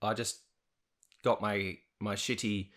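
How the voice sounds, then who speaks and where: A man's voice: on the low side, level tone, takes it slowly, fairly bright tone. A young man hosting a podcast, chatting casually in a self-deprecating way and laughing often. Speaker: podcast host. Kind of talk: casual interview chat.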